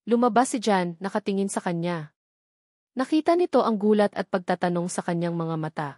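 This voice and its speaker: A woman's voice: mid-range voice, expressive, fairly quick, a little mellow, no echo, clean studio sound. A woman with a Filipino accent reading a scripted romantic drama as an audiobook narrator.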